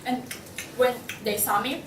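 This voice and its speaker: A woman's voice: a little high, fairly even intonation, measured pace, close to an everyday voice. A young woman in a play table read, playing a gossiping, indignant wife with a chatty, theatrical manner. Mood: gossipy, scandalized. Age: young adult. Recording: roomy, distant mic, faint noise.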